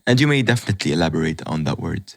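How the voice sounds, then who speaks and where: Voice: a bass voice, expressive, very fast, gravelly. A podcast host asking an interview guest loose, rambling questions full of fillers and restarts.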